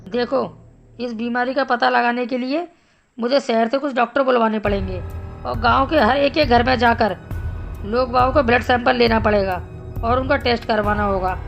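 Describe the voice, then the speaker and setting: A woman plays a concerned village doctor in a cartoon story, grave yet reassuring. The voice is fairly high and gravelly, explaining carefully, with soft music behind it. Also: fairly even intonation, normal speed, lo-fi sound. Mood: earnest. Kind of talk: animated Hindi story dialogue.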